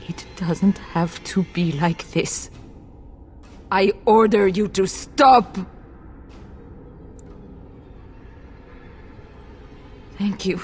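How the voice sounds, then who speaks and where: A woman playing a desperate, grieving noblewoman and mother in a video game, pleading in a halting, emotional way. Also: lowish voice, darkish tone. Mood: bitter, strained. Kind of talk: dramatic video game voice acting.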